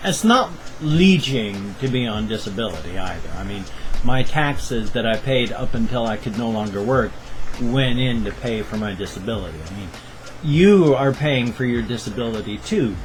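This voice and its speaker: A man's voice: mid-range voice, expressive, normal speed, slight background noise, low-quality recording. Chatty rambling man vlogging on webcam. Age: 50s. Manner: chatty, rambling, jokey asides. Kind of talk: casual daily vlog. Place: home, webcam setup.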